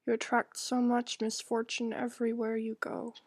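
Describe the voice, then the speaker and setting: A woman voicing a cruel, doting mother in a soft, whispery, slow and condescending way for a visual novel. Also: a little high, completely flat delivery, dry recording, studio quality. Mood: reproachful. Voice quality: breathy, whispery. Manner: slow, condescending, falsely tender.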